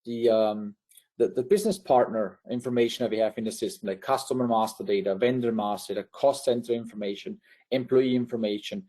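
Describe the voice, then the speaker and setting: Mellow man with a Swiss accent. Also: fairly low pitch, steady tone, fairly quick. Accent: Swiss German. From Liechtenstein. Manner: conversational, many fillers and restarts. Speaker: webinar presenter. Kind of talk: presenting a technical webinar.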